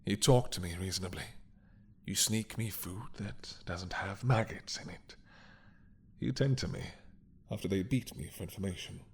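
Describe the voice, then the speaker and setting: A man playing an ancient, imprisoned mad dragon king in an intimate audio roleplay, seductive and menacing, with a husky, breathy voice and a teasing, hesitant drawl. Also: deep voice, slight background noise. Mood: reflective. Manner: slow, teasing, hesitant drawl with murmured asides.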